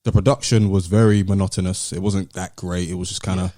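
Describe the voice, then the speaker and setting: A man's voice: low-pitched, level tone, brisk, dark tone, dry recording, clean studio sound. A man with a British London accent, hosting a podcast and casually discussing music with friends. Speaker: podcast host.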